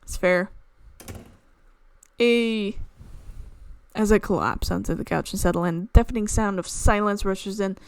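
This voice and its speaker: A woman streamer reading a visual novel aloud in an expressive storytelling way, with asides. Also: medium pitch, measured pace, long pauses, clear, resonant tone. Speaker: video game streamer. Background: game music.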